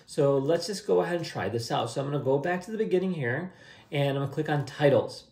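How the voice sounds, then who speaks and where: A man's voice: on the high side, fast, a little mellow. A young adult man giving a casual, upbeat, conversational screen-share tutorial. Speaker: host of a software tutorial channel. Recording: roomy, echoey indoor sound.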